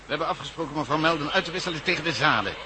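An actor playing a firm, businesslike police commissioner in a radio drama, with an official, deliberate manner. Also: male voice, a little high, close to an everyday voice. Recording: noisy old radio drama recording.

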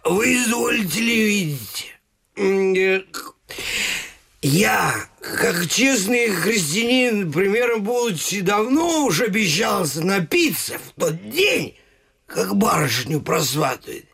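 Drunken, fearful servant, radio stage comedy; slow, slurred, high-pitched, servile. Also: male voice, very animated, vocal fry, a bit bright, slightly rough, exaggerated voice. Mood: rambling. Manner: slurred, halting, repetitive tipsy speech. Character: drunken, servile, fearful old-time Russian manservant. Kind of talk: theatrical radio comedy acting.

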